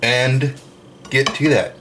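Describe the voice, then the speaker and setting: Slow, easygoing man cooking at home. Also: medium pitch, heavy background noise. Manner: slow, relaxed, chatty with frequent fillers. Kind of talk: casual cooking narration. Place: home kitchen with open windows.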